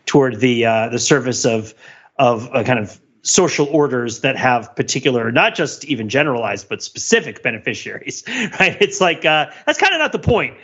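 A man's voice: medium pitch, expressive, moderate pace, fairly bright tone, slightly rough, lo-fi sound. A man co-hosting a podcast in casual discussion, talking in a wordy, intellectual way and often checking in with "right?". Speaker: podcast co-host.

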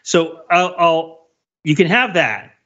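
A man's voice: on the high side, animated, slow pace, a bit bright, dull audio. A man co-hosting a podcast in casual, joking banter with a wry, playful manner. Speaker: podcast co-host.

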